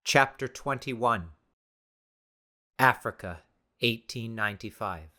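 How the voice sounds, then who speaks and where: A man reading dramatic scripted narration for an audiobook in a deliberate, theatrical way. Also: mid-range voice, animated, sharp tone.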